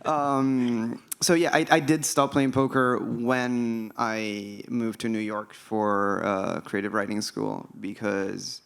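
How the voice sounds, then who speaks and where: Guy on a festival panel, low gravelly voice, easygoing, lots of ums. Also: slow pace, hardly any pauses. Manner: relaxed, thinking aloud with ums. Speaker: author guest. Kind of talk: panel talk in English.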